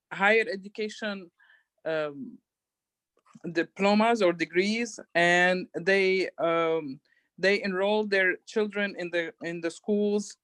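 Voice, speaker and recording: Woman from Syria, halting presenter; dry recording. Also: lowish voice, fairly bright tone, no room sound. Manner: hesitant, many fillers. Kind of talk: conference presentation.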